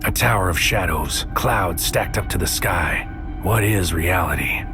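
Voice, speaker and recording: A man's voice: low voice, unhurried. An actor playing a brooding, obsessed psychic soldier who narrates his own story as an intense noir audio drama monologue. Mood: grim, obsessive. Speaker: narrator and lead character. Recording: music under the voice.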